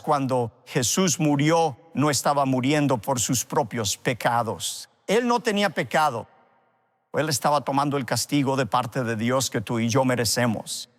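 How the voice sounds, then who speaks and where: A man delivering a sermon and leading prayer as a preacher, speaking earnestly in deliberate phrases. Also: medium pitch. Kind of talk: sermon with an altar call and prayer. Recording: long hall reverb.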